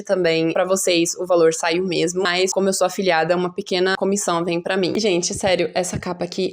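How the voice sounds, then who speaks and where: Chatty Brazilian woman, slightly low voice. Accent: Brazilian Portuguese. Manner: enthusiastic, informal. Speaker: book vlogger. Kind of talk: casual chatty book talk to viewers.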